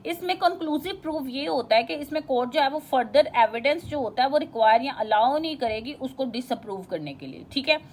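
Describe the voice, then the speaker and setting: A woman teacher giving an informal lecture, explaining and reading out definitions in a halting, repetitive way with frequent check-in tags. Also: sits high, expressive, slight background noise.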